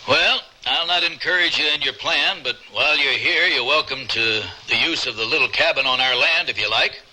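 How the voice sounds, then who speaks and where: A man's voice: mid-range voice, moderate pace, pushed and bright. An actor playing a blunt, folksy frontier rancher and family man in an old-time radio drama. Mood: friendly. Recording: slightly noisy old radio recording.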